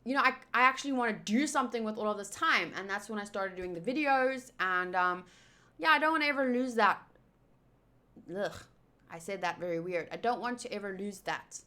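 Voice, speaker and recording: A woman's voice: mid-range voice, a little fast, pushed and bright. A young woman whose first language is Afrikaans, chatting casually on a live stream with lots of fillers and restarts. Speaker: streamer answering chat.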